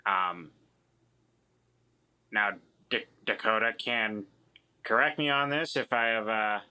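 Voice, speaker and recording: A man in casual tabletop roleplay, speaking in a halting, measured way. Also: lowish voice, pushed and bright, smooth. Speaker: tabletop game player.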